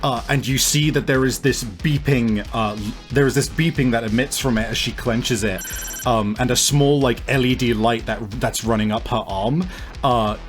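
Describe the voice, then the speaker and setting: A man working as a game master, improvising tabletop game narration in a halting, piece-by-piece way. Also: mid-range voice, fairly even intonation. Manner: halting, pieces scenes together as they go. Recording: music playing behind the voice. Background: music.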